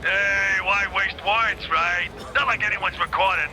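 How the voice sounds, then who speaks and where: An actor playing a scheming, contemptuous crime boss in a noir cartoon game, with a brash, sneering manner. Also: male voice, high-pitched, unhurried, pushed and bright, a little hiss or hum, full character voice, low-quality recording. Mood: brisk, sardonic. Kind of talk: noir cartoon video game villain acting.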